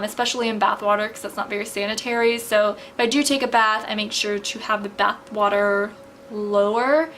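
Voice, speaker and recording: A woman's voice: mid-range voice, fairly bright tone, slight background noise. A young woman vlogging in a casual, rambling way, full of "like" and "um", her voice tiring from talking. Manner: rambling, many filler "like"s and "um"s. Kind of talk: casual chat to camera.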